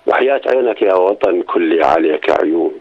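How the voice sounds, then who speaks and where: An older Jordanian man, a poet and guest on a radio show, speaking quickly and emphatically with a Jordanian accent and reciting his own verse down a muffled phone line. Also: low-pitched, animated, a little mellow. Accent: Jordanian Arabic. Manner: fast, emphatic, gravelly delivery.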